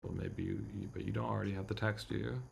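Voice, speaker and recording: A man's voice: lowish voice, fairly even intonation, quick pace, vocal fry, a little mellow, slightly rough. A man streaming a visual novel, chatting casually and muttering mildly exasperated asides. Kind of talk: casual livestream chat.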